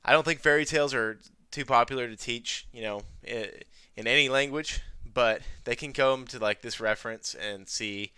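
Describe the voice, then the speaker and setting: A man's voice: medium pitch, moderate pace, bright, forceful tone, clean tone, no echo. A man presenting an educational tutorial in an informal, conversational way, often dropping in "you know". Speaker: presenter of an educational video on teaching ESL. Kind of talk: casual tutorial talk over a screen demonstration.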